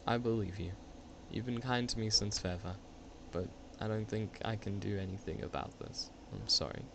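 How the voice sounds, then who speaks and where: A man's voice: lowish voice, moderate pace, creaky, noisy background. A man playing a scared, bewildered salaryman of twenty-seven, stammering and distressed, in an amateur radio play. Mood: resigned, sorry. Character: scared, bewildered 27-year-old male salaryman.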